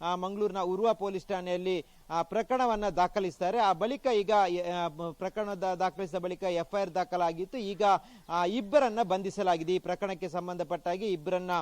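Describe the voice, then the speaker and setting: A man reporting live news, explaining haltingly and repeating himself. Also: high voice, fairly even intonation, darkish tone, no echo.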